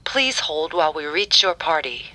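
A woman playing an automated phone menu operator, giving neutral, measured recorded announcements over a telephone. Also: sits low, very animated, very noisy.

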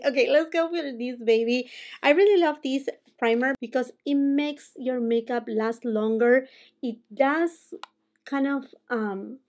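Peruvian-accented woman, 40s, beauty vlogger, higher pitch, animated and chatty at home. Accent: Peruvian Spanish. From Peru. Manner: animated, gestures a lot while talking. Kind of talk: makeup tutorial chat. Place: home room with holiday decor.

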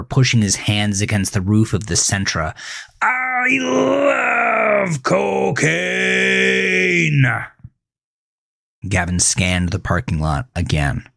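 A man narrating a scripted horror thriller in a dramatic, deliberate manner. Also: low-pitched, a bit bright, dry recording, clean studio sound.

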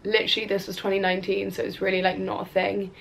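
A woman's voice: mid-range voice, little pitch movement, fast, vocal fry, fairly bright tone. A woman in her twenties answering viewer questions to camera in a casual, rambling way, sounding stuffy from a cold. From Wales. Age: 20s. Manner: chatty, rambling with fillers and restarts. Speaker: cook and influencer. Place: bedroom at home, indoors. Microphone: camera on a tripod in front of her.

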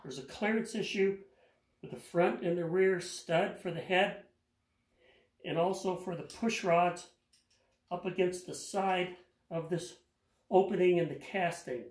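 A man's voice: high-pitched, steady tone, a little slow, long pauses. An older man explaining an engine rebuild in his home garage, talking in a plain, practical way and repeating words as he works. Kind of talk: hands-on how-to explanation. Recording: echoey garage.

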